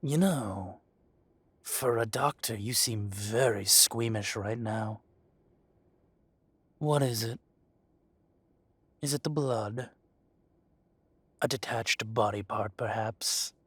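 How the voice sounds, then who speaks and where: A man acting as a possessive dragon shifter mafia boss in a roleplay audio drama, speaking with mock politeness and deliberate, taunting menace. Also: lowish voice, slightly rough, no room sound. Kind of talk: scripted roleplay audio drama, addressing the listener.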